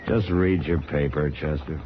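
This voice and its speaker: An actor playing a stern but fair American frontier marshal in an old radio western, plain-spoken and authoritative. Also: male voice, rumbling low, steady tone, unhurried. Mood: wry. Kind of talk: 1950s radio western acting. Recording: muffled old radio recording, crowd noise.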